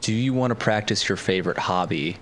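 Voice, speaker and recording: A man's voice: fairly low pitch, fairly quick, noisy background. A man speaking casually as a player and roleplaying in a tabletop game. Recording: music clearly behind the voice. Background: plucked guitar music.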